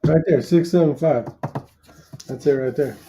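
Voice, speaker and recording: A man's voice: on the high side, normal speed, background noise. A man chatting casually as a co-host. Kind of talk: casual chat.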